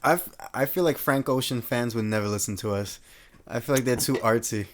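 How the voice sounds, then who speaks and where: Young guy co-host, relaxed, laughs easily, casual swearing, middle-range voice. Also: medium pitch, a little fast. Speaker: podcast co-host. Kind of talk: casual chat.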